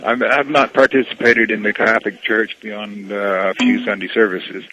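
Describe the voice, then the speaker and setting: A man on a phone call, answering casually as a church representative, in a measured, guarded, explanatory way. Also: lowish voice, flat delivery, sharp tone.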